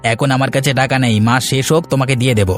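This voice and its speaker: A man's voice: mid-range voice, fairly even intonation, quick pace, soft background music, close to an everyday voice. A man playing a sly village schemer, by turns wheedling and bullying, in a cartoon. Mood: bullying, dismissive. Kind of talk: Bengali moral-story cartoon dubbing.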